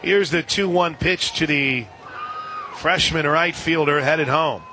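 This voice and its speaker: A man calling a softball game live as a radio play-by-play announcer, in a folksy, chatty way with listeners. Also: on the high side, slow. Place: outdoor softball stadium. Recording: loud crowd and outdoor stadium noise. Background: crowd noise, outdoor ambience.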